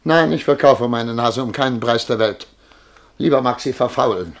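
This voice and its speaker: An actor playing a self-important, pleading Russian major in a German radio drama, plaintive and indignant. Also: male voice, mid-range voice, moderate pace, lightly acted.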